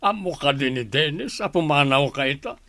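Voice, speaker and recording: A man's voice: a little high, expressive, normal speed, sharp tone, dry recording, clean studio sound. A man acting as an older, stern father-in-law in a radio-style drama, challenging and defensive of his son. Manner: challenging, disapproving.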